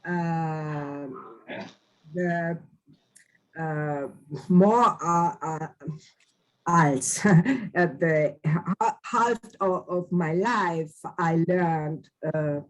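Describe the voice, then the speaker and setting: A non-native English-speaking woman in her fifties, answering in an online class, halting and searching for words. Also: fairly low pitch, low-quality recording. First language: not English. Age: 50s. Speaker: German teacher taking part as a student.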